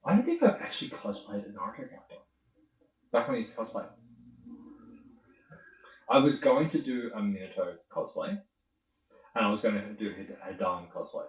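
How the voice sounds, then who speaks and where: Guy with a lowish voice rambling along, sounds a bit off-mic in a roomy space. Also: expressive, moderate pace, pauses often, far from the mic. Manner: rambling, self-correcting, chatty. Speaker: voice actor guest. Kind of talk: casual conversation.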